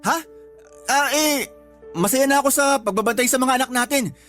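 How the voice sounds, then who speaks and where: A man's voice: extremely high pitch, normal speed, fairly bright tone. A man playing a defensive, sulky young stay-at-home father in a Tagalog radio drama. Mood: evasive. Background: background music.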